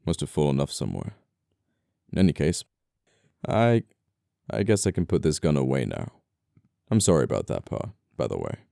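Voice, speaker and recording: Casting: male actor for scoundrel murderer in ASMR roleplay, very deep and smooth, politely menacing, apologetic, flirtatious, quaint wording. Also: big pitch swings, normal speed, long pauses, no echo, clean studio sound, full character voice. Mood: apologetic, flirty. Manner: soft-spoken politeness, condescending, old-fashioned phrasing. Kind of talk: intimate ASMR audio roleplay acting.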